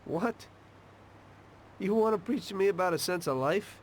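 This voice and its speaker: A troubled mobster with a middling pitch, played by a man. He sounds worn down by the life he is in. It is the voice of a crime video game's haunted lead. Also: normal speed, a little hiss or hum, natural voice. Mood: troubled, doubtful. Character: weary, troubled male gangster, former taxi driver. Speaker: narrator and character in a crime video game. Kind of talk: confessional narration and dramatic game dialogue.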